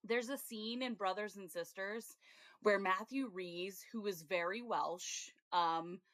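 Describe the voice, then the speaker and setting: A woman on a TV review podcast, talking in a loose, chatty way and breaking into laughs. Her voice sits at an ordinary pitch and sounds close and dry, with no room around it. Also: slow, a bit bright, no echo. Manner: chatty, laughs often, acts out quoted reactions. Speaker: podcast co-host. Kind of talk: casual TV review chat.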